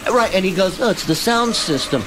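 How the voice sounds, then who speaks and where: A man's voice: high-pitched, expressive, fairly quick, crowd noise. A man in casual radio banter, telling stories and needling others insistently.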